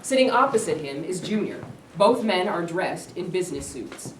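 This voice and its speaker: Woman, stage directions reader, scripted narration, medium pitch, far from mic, echoey noisy room. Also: animated, moderate pace. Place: live staged reading in a room.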